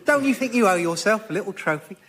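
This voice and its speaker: A man's voice: high-pitched, expressive, fast talker, fairly bright tone. An actor playing a chummy key cutter in a radio comedy sketch, deferential and coaxing as he congratulates his customer. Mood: coaxing, congratulatory.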